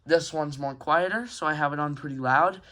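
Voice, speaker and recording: A man's voice: a little high, normal speed, bright, forceful tone. A man hosting a casual, unscripted show-and-tell review at home, talking in a hesitant, rambling way into a handheld camera. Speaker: hobbyist video host. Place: carpeted room at home.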